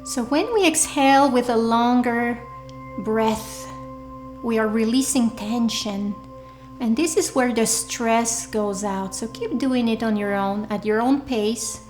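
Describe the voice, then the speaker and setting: A woman leading a guided meditation in a soothing, deliberate way, leaving pauses between short phrases. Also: fairly high pitch, poor audio. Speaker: meditation guide, workshop leader. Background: soft background music.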